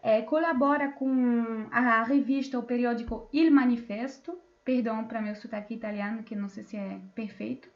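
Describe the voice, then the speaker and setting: A woman's voice: a little high, steady tone, normal speed, few pauses, lo-fi sound. A woman moderating an online panel, introducing guests with many fillers and restarts, over a video call. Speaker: debate moderator.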